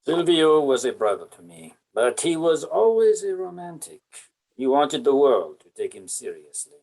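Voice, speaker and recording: A man's voice: on the high side, big pitch swings, moderate pace, creaky, a little mellow, full character voice, low-quality recording. A man playing a ruthless, scornful military general in an amateur table read.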